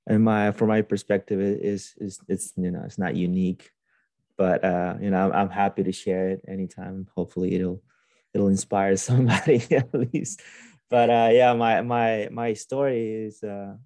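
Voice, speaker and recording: A young man speaks low and dark, his pitch staying flat and even. He tells his story as a guest, pausing, restarting and filling gaps as he goes. The sound is dry and close, with no room around it. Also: no room sound. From Honduras. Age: young adult. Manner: hesitant, many restarts and fillers. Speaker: podcast guest. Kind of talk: casual interview storytelling.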